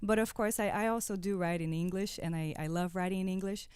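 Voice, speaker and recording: A woman's voice: mid-range voice, fairly quick, a little mellow, clear, resonant tone, no echo. A woman from Brazil whose native language is Portuguese, a guest singer-songwriter talking conversationally in an interview.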